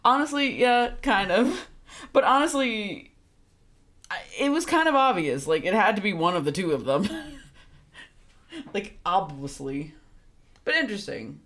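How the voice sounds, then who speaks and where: A woman's voice: medium pitch, very animated, measured pace, pauses often, fairly bright tone. A woman streaming a video game, giving casual, playful live commentary in an informal way. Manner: informal, playful, reacting aloud.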